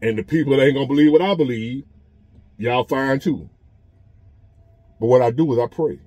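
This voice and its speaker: A man's voice: mid-range voice, moderate pace, mellow, dry recording. Earnest man in his fifties. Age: 50s. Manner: earnest, repetitive, conversational preaching. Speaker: vlogger. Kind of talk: casual motivational monologue. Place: parked truck.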